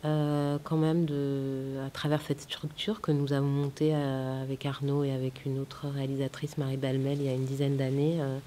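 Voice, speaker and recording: A woman's voice: rumbling low, level tone, normal speed, hardly any pauses, mellow. A woman film director in an interview, speaking thoughtfully with many hesitations and restarts, her first language Italian. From France. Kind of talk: festival interview. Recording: faint hiss.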